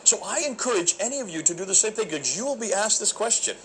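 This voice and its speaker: A man's voice: high voice, fast talker, slight background noise, poor audio. Emphatic man telling a story. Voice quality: loud voice. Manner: animated, emphatic, preacherly. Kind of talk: storytelling talk.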